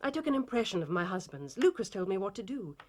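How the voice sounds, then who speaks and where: A woman's voice: mid-range voice, very animated, fast talker, a little mellow, slight background noise, natural voice. An actress playing an anguished, proud titled Victorian lady in a period drama, formal and emotionally intense. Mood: tense recounting.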